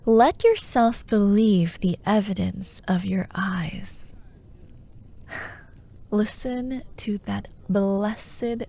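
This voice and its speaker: A woman playing a menacing, persuasive fantasy warlord who haunts dreams, grandiose and coaxing, in a breathy ASMR roleplay voice that often drops toward a whisper. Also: medium pitch, dark tone, full character voice. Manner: slow, grandiose, coaxing, mock-reasonable.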